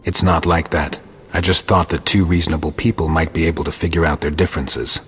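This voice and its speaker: Very deep, stoic monster hunter. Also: male voice, fairly even intonation, fairly quick, fairly bright tone, husky, full character voice. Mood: measured, stoic. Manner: terse, restrained delivery. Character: calm, reasonable male monster hunter, stoic and measured. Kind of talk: video game voice acting.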